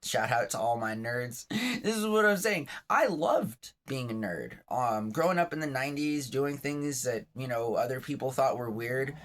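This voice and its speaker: A man's voice: medium pitch, lively intonation, normal speed, a bit bright. A man in his thirties hosting his own vlog from his bed, talking in a chatty, rambling, self-deprecating way with lots of asides. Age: 30s. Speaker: vlogger, channel host. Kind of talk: rambling casual vlog monologue. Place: on his bed at home, just woke up.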